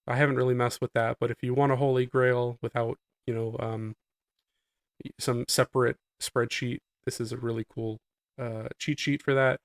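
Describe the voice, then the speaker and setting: A man with a nasal voice, getting over a cold, giving a relaxed, explanatory walkthrough of his game setup. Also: mid-range voice, moderate pace, no room sound, clean studio sound. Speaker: gaming channel host. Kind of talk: casual gameplay guide commentary.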